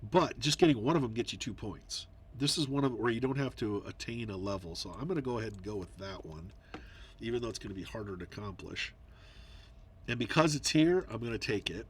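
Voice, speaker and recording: A man's voice: medium pitch, breathy edge. A man casually explaining his choices in a digital board game, a little congested and nasal, thinking aloud with many fillers. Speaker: board game video player. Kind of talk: casual gameplay commentary.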